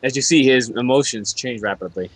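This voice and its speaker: A man's voice: mid-range voice, fairly quick, slightly rough. A man chatting casually as he coaches fellow cast members during a fandub session.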